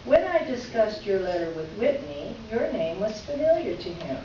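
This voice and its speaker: A woman playing a polite but pointed newspaper columnist, reading a formal letter aloud in a measured, drily ironic way for readers theater. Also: mid-range voice, big pitch swings, warm tone, close to an everyday voice. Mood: dry, pointed. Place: live reading in a reverberant room. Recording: echoey room from far off, dull, noisy.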